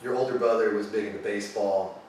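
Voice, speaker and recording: A man's voice: on the low side, fairly even intonation, quick pace, darkish tone, heavy reverb, distant mic, slight background noise. A man hosting a casual interview, asking eager questions and agreeing often. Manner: eager, agreeable, many short backchannels. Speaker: interviewer, host.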